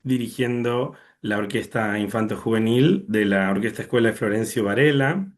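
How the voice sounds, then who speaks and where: Young argentine guy on a video call, talks it through slowly, lots of ehs and restarts. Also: fairly low pitch. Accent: Argentine Spanish. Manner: thoughtful, many fillers and restarts. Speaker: orchestra conductor, guest.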